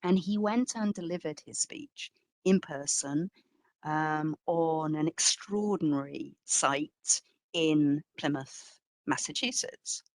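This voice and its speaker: A woman's voice: low-pitched, slow pace, no echo. A woman curator giving an explanatory, storytelling answer in an online talk, with emphatic phrasing. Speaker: exhibition curator, guest speaker.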